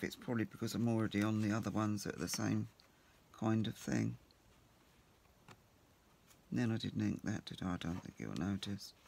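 A man with a croaky voice, chatting casually to himself while he works at a home craft table as host of his crafting channel. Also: fairly low pitch, slight background noise.

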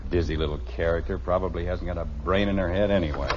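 A man's voice: low-pitched, fairly even intonation, fast talker, lightly acted. A man playing a grumbling middle-aged American family father in a radio sitcom, dry, exasperated and wisecracking. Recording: dull, noisy vintage radio recording.

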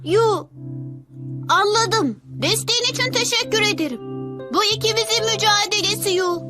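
A woman's voice: extremely high pitch, normal speed, loud music behind the voice. A woman voicing a plucky, determined little boy hero in a dubbed cartoon, intense and resolute.

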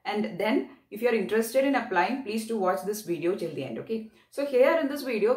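A young woman with an Indian accent gives an instructional explanation to camera, repeating key points and often checking in with "okay". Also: mid-range voice. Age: young adult. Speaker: presenter of an exam guidance channel. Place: small bare-walled room indoors. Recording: roomy echo, distant mic.

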